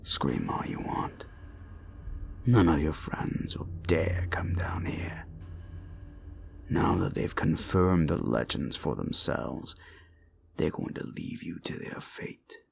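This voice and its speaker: A man playing a cruel, menacing slasher killer in a horror audio roleplay, taunting the listener with a gravelly voice and drawn-out lines that often sink into whispers. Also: extremely low pitch, lively intonation, slight background noise, exaggerated voice. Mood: menacing, gloating. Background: music.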